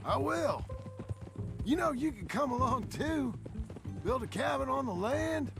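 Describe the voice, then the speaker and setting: A gravelly weary ex-outlaw. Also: male voice, very high-pitched, expressive, measured pace, full character voice. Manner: laconic and wry. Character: weathered ex-outlaw rancher, middle-aged and world-weary. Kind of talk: video game cutscene acting. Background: game music and horse hooves.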